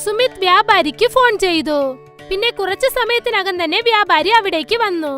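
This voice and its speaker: A woman's voice: extremely high pitch, normal speed, bright, forceful tone. A woman narrating a scripted children's fairy tale as storyteller. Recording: faint music under the voice.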